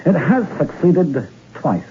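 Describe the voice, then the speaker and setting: An actor in an old-time radio crime drama playing a dry, laconic older police lieutenant. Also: male voice, a little high, very animated, slow, husky, exaggerated voice. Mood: brisk, sardonic. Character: wry older male police lieutenant investigating murder. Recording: dull, noisy old radio recording.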